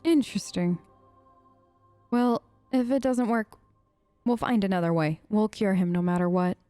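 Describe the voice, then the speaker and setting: A woman's voice: medium pitch, unhurried, mellow, smooth, no room sound, studio quality. Chatty woman role-playing, faint music behind. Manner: chatty, emotive, hesitant with fillers. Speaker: streamer role-playing her character. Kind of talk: role-play let's play chat.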